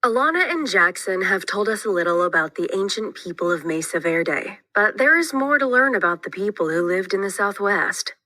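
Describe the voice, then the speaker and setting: A woman's voice: mid-range voice, lively intonation, moderate pace, few pauses, pushed and bright, no room sound. A woman reading scripted educational narration aloud as a teacher would for a class.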